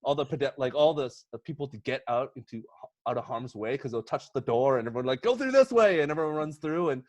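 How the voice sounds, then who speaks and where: A man talks casually on a podcast panel in a close, dry recording, with a middling pitch. He rambles excitably through a comedic pitch and slips into little acted-out quotes. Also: fast, dry recording.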